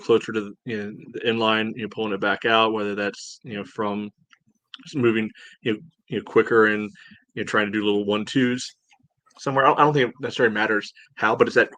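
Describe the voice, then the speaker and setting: A man chatting casually as a sports podcast host, breaking down play in a halting, rambling way full of verbal padding. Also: lowish voice, steady tone, a bit bright, no room sound. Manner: halting, rambling delivery peppered with "you know". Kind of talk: casual sports analysis chat.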